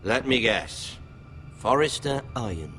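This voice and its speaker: An actor playing a sadistic young bastard lord in a fantasy game, with a mocking, theatrical, toying manner. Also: male voice, mid-range voice, lively intonation, a little slow, sharp tone, faint music behind.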